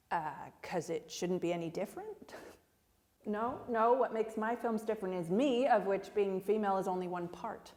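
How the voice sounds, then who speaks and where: A woman's voice: medium pitch, darkish tone, close to an everyday voice. A woman playing an outspoken, indignant film director in a dramatic monologue, defiant and sweary, tripping over repeated words. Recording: long echoing hall reverb.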